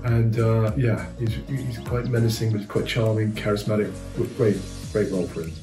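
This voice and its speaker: A man's voice: fairly low pitch, fairly even intonation, normal speed. British man, hesitant, in an echoey room. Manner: hesitant, many fillers and restarts. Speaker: viewer giving opinions. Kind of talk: casual reaction review. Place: living room, on a sofa. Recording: roomy echo, faint music.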